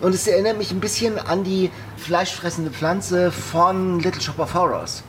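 A man's voice: high-pitched, normal speed, slight background noise. A man chatting casually and playfully as a podcast co-host. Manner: playful, animated. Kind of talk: casual chat. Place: radio studio.

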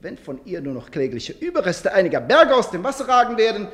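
A man's voice: sits high, sing-song, fairly quick. An actor playing a suave, menacing male legal advocate, giving a persuasive formal oration in a radio play. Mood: earnest warning. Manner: rhetorical, persuasive, veiled menace.

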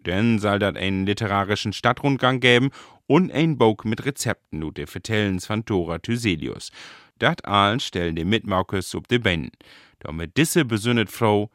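A man with a Northern German, Low German accent narrating a scripted radio feature as its reporter. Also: expressive, normal speed, a bit bright, dry recording, studio quality. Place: radio studio.

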